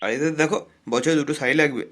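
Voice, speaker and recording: A man's voice: mid-range voice, racing, noisy background, lightly acted. A man voicing a crude, bossy married elder-brother figure who grumbles and scolds in a comic cartoon. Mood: grumbling. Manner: scolding, foul-mouthed, complaining banter.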